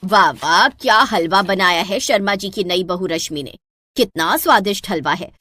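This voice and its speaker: A woman's voice: mid-range voice, fairly bright tone, no room sound, natural voice. A woman voicing an older, carping mother-in-law in a moral story, gushing and scornful by turns. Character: carping mother-in-law, forever praising the neighbour's daughter-in-law.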